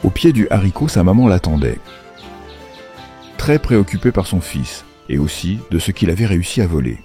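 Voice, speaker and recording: Male storyteller: low voice, very expressive intonation, playful scripted children's narration over clear music. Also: moderate pace. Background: music under the voice.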